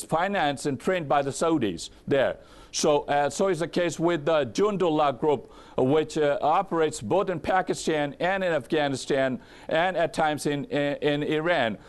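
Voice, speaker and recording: A male political analyst with a slightly high-pitched voice offers commentary in an interview. He speaks deliberately and haltingly, choosing formal, academic wording as he builds his explanation. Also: clean tone.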